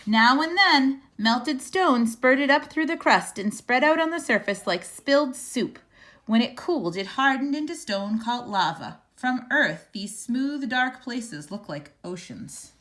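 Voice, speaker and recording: Higher-voiced woman reading a children's storybook. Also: lively intonation, a little slow, fairly bright tone. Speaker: storybook reader. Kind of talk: read-aloud of a children's picture book.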